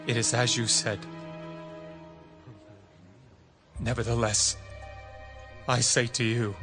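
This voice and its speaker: Grave, commanding holy teacher played by a man, earnest audio-drama delivery, music clearly underneath. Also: mid-range voice, fairly bright tone, lightly acted. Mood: solemn, assured. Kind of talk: dramatized audio Bible acting.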